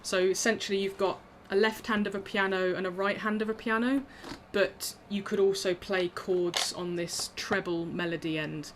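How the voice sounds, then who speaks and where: A woman's voice: mid-range voice, steady tone, normal speed, few pauses, noisy background. A woman musician talking casually through her instruments on a video call, chatty and self-deprecating with frequent ums and little laughs.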